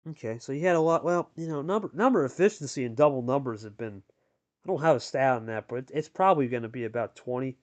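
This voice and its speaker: A man's voice: medium pitch, animated, fast. A man giving live sports-style commentary on a card game as host, talking colloquially and addressing players like buddies.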